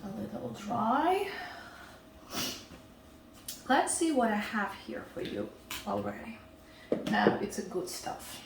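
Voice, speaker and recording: A woman's voice: on the high side, expressive, takes it slowly, creaky, long pauses, roomy sound, distant mic, very noisy. A woman with a Ukrainian accent hosting a casual cooking demonstration, chatty and often checking in with her viewers. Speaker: cooking show host.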